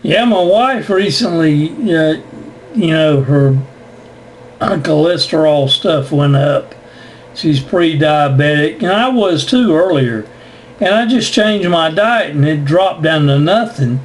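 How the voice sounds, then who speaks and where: A man's voice: a little high, slow, rough recording. A man with an American accent in casual chat as an amateur radio operator, often repeating words and phrases. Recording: ham radio contact with faint noise.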